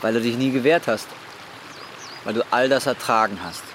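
A man's voice: medium pitch, moderate pace, natural voice. An actor in his twenties playing a desperate young man burdened by guilt, blunt and brooding, in a naturalistic radio drama. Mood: anguished, confessing. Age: 20s. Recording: clearly noisy ambience with vehicle sounds.

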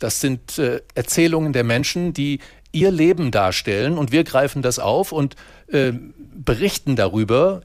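A journalist discussing war reporting in a measured way, pausing often with fillers. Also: male voice, fairly high pitch, dry recording, studio quality. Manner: measured, hesitant with many fillers. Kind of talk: studio discussion.

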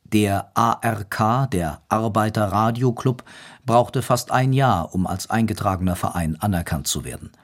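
Low, husky male documentary narrator. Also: unhurried. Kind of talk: scripted historical radio narration.

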